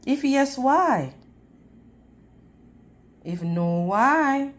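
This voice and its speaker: A woman reading a storybook aloud in an expressive, teacherly way, putting questions to young listeners. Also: high-pitched. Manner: deliberate, teacherly, addressing children. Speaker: children's read-aloud storyteller.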